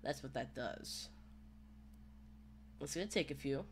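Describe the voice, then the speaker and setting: A man hosting a casual software tutorial, talking in a hesitant, rambling way. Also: mid-range voice, a little slow. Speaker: tutorial host. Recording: home microphone with background noise.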